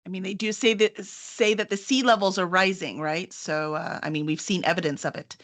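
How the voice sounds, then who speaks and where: A woman hosting as a publisher in an informal, chatty and expressive way. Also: fairly low pitch, brisk. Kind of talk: casual hosting and interviewing, with read-aloud book copy.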